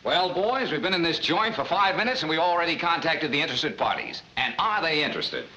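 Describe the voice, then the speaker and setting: An actor playing a confident, brisk male schemer with an assured, wisecracking manner in an old film. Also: on the high side, animated, pushed and bright. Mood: wry. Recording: noisy old film soundtrack.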